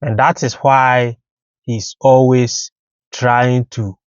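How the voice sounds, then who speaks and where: A Ghanaian man offers unscripted commentary as a host. He speaks slowly, in halting, deliberate little phrases, at a medium pitch. The recording is dry and a little dull. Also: flat delivery, clear, resonant tone, no echo.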